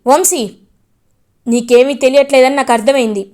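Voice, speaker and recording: A woman voicing an indignant young man who scolds loudly, retelling a family drama aloud. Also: high-pitched, expressive, normal speed. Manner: raised, scolding delivery. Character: indignant young man, protective older brother.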